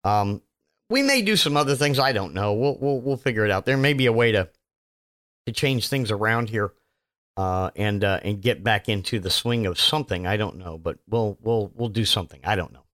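A man hosting his own podcast, his voice still hoarse from illness, rambling casually with lots of fillers and self-mocking asides. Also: fairly low pitch, lively intonation, moderate pace, a bit bright. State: hoarse, recovering voice. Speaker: podcast host. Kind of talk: casual solo podcast monologue.

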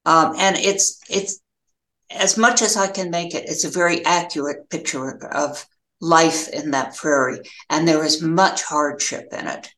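An older American woman giving reflective interview answers as a novelist, in a halting, thoughtful way with pauses mid-phrase. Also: low-pitched, steady tone, fairly bright tone, roomy sound.

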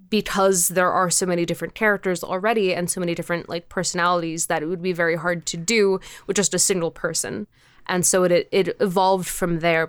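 A woman's voice: medium pitch, clean tone, no room sound, studio quality. A woman speaking as a podcast guest in a casual interview chat, candid and informal, swearing freely. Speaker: podcast guest, audio drama creator.

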